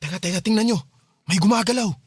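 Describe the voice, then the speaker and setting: An actor playing a young boyfriend, defensive and skeptical, in a Filipino radio drama. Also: male voice, high voice, fast, natural voice. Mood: wary, skeptical.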